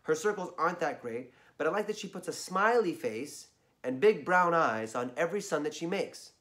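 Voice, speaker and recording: A hoarse man reading a children's story aloud, chatting in asides to his listeners. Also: fairly high pitch, animated, normal speed, fairly bright tone.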